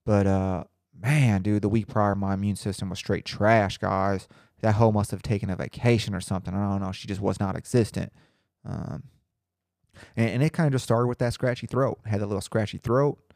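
A man's voice: fairly low pitch, steady tone, fairly quick, gravelly, a little mellow, no echo, clean studio sound. A young man hosting his own podcast in casual, rambling, profane talk, a little congested and nasal from a cold. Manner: profane, rambling, laid-back. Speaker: podcast host.

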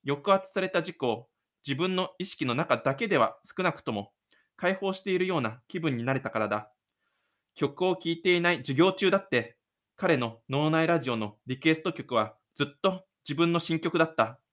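A man hosting his own audio drama show, narrating and chatting gently. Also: a little high, animated, normal speed. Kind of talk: audio drama narration and casual host chat.

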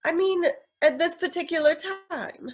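Woman playing a high-voiced radio-play sweetheart. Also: a little slow. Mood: urgent. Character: earnest, excitable sweetheart sidekick of a sleuth, female. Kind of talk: vintage radio drama acting.

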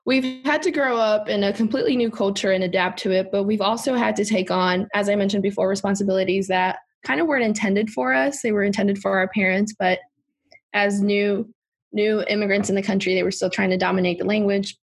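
A woman's voice: mid-range voice, fairly even intonation, fairly quick, breathy edge. A young woman hosting a casual podcast, earnestly steering the topic and talking expressively. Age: young adult. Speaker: podcast host. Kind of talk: casual chat.

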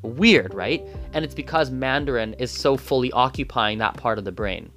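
Man from Canada, first language Gujarati, mid pitch, casual rambling vlog monologue, faint music bed. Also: lively intonation, normal speed, a bit bright. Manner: rambling, many restarts and asides. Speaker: polyglot vlogger.

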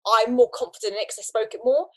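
A young woman in casual conversation, animated, often restarting her sentences and laughing between thoughts. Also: a little high, quick pace. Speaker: guest.

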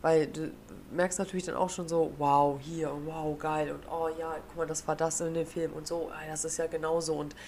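A German woman with a notably low voice chats loosely on a podcast, full of fillers and casual slang. She sounds a little stuffed up and nasal from a cold, with faint room ambience behind her. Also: flat delivery, moderate pace, hardly any pauses, darkish tone, a little hiss or hum. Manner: chatty, lots of fillers and slang. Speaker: podcast co-host. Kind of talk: casual chat. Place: living in the United States.

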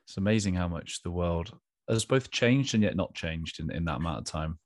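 A man's voice: low voice, expressive, moderate pace, dry recording, studio quality. A man hosting a podcast, reading a scripted intro and then chatting with his guest, with encouraging murmurs. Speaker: podcast host.

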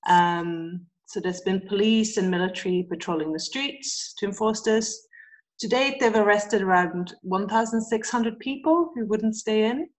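A woman from Sweden talks as a guest over a remote call, her voice at a middle pitch. She is relaxed, laughs softly, and pauses often on ums. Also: low-quality recording. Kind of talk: casual chat.

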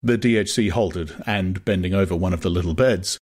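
A man narrating a dramatized audiobook in a measured, literary manner. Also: deep voice, a little mellow.

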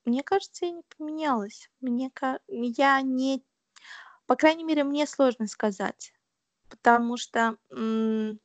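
A young woman being interviewed in a casual conversation, talking thoughtfully with many pauses and fillers. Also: high voice, dry recording. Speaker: interview guest.

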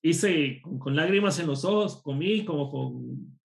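Gravelly man telling stories, higher voice. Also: measured pace. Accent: none, a neutral Spanish. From Costa Rica. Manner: lively anecdotal storytelling. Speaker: programmer and course instructor, guest. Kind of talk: casual storytelling interview.

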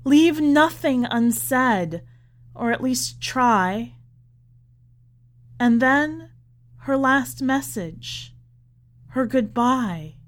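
A woman reading literary fiction aloud as scripted narration, with measured, deliberate phrasing. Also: high-pitched, lively intonation. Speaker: podcast host reading a short story.

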